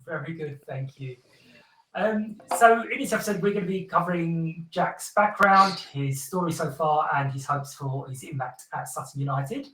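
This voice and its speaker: Bright-voiced podcast host, echoey room. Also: male voice, a little high, normal speed, far from the mic. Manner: chatty, friendly, laughs often with filler ums. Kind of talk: casual podcast chat.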